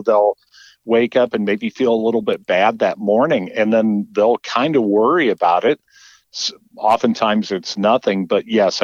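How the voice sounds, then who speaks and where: A male radio co-host with a fairly low voice, agreeing and adding points in an even, measured way. The sound is dry and a bit dull, as if slightly muffled. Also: dry recording. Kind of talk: casual radio talk. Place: radio studio.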